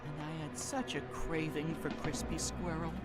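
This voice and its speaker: A man's voice: fairly high pitch, little pitch movement, normal speed, loud music behind the voice, close to an everyday voice. A man voicing a small, wisecracking, cowardly talking bird in a video game, grumbling with melodramatic sarcasm.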